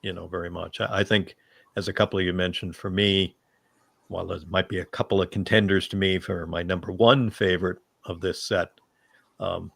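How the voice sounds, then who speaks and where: A man speaking as a fan panelist, giving his opinions in a measured, conversational discussion style. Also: sits low, fairly even intonation, vocal fry, fairly bright tone, husky, no echo.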